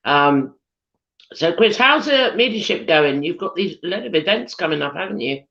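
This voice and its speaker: A British woman hosting a live chat show on a video call, husky from losing her voice, chatty with lots of ums. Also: lowish voice, lively intonation, moderate pace, fairly bright tone. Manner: chatty, giggly, many ums. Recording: dull lo-fi video call audio.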